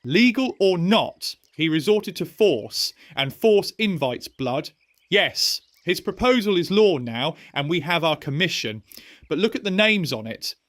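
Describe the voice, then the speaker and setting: A man's voice: fairly high pitch, sing-song, unhurried, a bit bright, no echo. Man playing an anxious, urgent young Roman nobleman. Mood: urgent, fearful. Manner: earnest, rhetorical, urgent. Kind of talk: historical audio drama acting.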